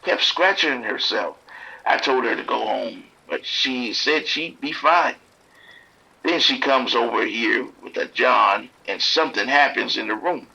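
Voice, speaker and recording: An actor playing a streetwise pimp trapped in a motel bathroom, blunt and grudging with the police. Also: male voice, medium pitch, expressive, measured pace, gravelly, sharp tone, husky, heavily acted, low-quality recording. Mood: shaken. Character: streetwise male pimp hiding from attackers, bitter toward police.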